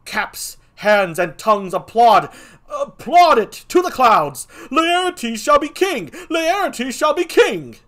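A man voicing a panicked male messenger with urgent news, shouting frantically. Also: very high voice, big pitch swings, slow, voice pushed up, exaggerated voice. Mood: alarmed.

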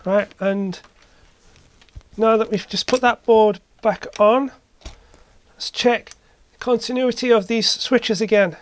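Slow-paced, hesitant repair narrator. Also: mid-range voice, steady tone, slight background noise. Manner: hesitant, thinking aloud. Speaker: repair video maker. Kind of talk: casual hands-on repair commentary. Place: indoor electronics workshop.

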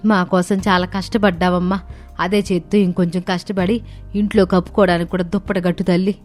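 A woman's voice: fairly low pitch, flat delivery, fairly quick, soft background music, natural voice. A woman voicing a grateful older mother-in-law in a cartoon story, speaking affectionately. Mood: fond.

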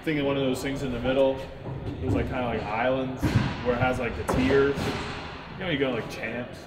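A man's voice: medium pitch, flat delivery, brisk. A man in his thirties, a gym owner, casually walking a camera through his new gym build-out, talking loose and profane with lots of fillers. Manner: laid-back, profane, filler-heavy. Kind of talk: casual vlog walkthrough. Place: large empty warehouse gym space. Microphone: far camera. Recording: echoey warehouse with long reverb, noisy.

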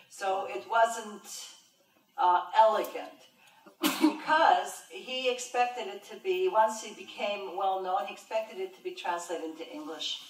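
An older woman from Montreal, a professor and translator, answering audience questions in a thoughtful way with many fillers. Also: medium pitch. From Montreal, Canada. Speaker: professor and translator giving a talk. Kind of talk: lecture and audience Q&A. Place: large lecture room with an audience. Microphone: podium microphone. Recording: roomy hall sound, mic heard from a distance.

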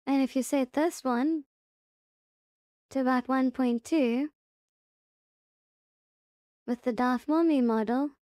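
A woman presenting a casual software tutorial step by step, unhurried and thinking aloud as she goes. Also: high-pitched, no room sound.

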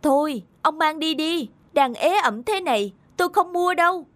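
High-pitched greedy cartoon shopkeeper. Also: female voice, animated, moderate pace, dry recording. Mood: grumbling. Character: plump male shopkeeper, greedy, fretful, calculating. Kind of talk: animated folk-tale cartoon acting. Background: loud instrumental music.

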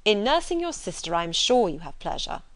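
A woman reading a period novel, voicing a good-natured, gallant young gentleman in an earnest, courteous way. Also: medium pitch, sing-song, brisk, natural voice. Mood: kindly, self-deprecating. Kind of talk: audiobook character dialogue from a period novel.

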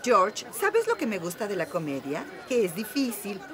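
A woman voicing a gentle, encouraging female hippo in a cartoon. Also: medium pitch, huge pitch swings, moderate pace, a loud crowd, heavy background noise. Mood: warm. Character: warm, appreciative female hippo friend. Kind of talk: cartoon dub dialogue.

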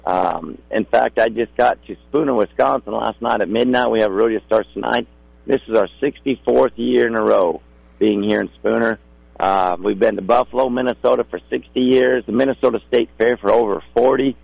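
A man's voice: medium pitch, a little fast, creaky, a little mellow, slightly rough, no room sound, dull audio. A middle-aged man with a polite, folksy way of talking, a guest in a casual radio interview. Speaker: rodeo contractor guest.

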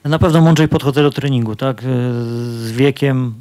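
A man's voice: mid-range voice, moderate pace, darkish tone. A man in his thirties, a fighter answering press questions into a handheld mic, talking casually with lots of fillers and restarts. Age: 30s. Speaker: MMA fighter. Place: press conference room with sponsor backdrop. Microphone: handheld microphone.

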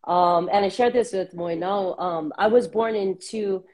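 A woman in her thirties from Southern California, a guest talking reflectively over a video call on earbuds, with many pauses and fillers. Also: medium pitch. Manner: warm, reflective, many ums. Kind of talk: casual interview on a video call. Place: small room indoors.

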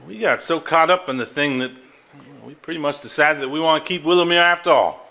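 Actor as earnest, bright-voiced Southern man. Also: a little high, brisk, creaky. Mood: plain, concerned. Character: earnest, plain-spoken Southern family man. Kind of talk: live stage acting. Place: theater stage before audience.

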